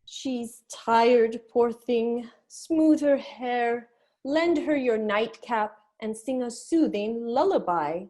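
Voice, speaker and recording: A condescending storybook queen, acted by a woman, high in pitch and mellow in tone. She speaks slowly and primly, as if patronizing everyone around her in a staged reading. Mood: condescending, soothing. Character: bossy, condescending Red Queen growing drowsy. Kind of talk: dramatic reading of a classic children's story.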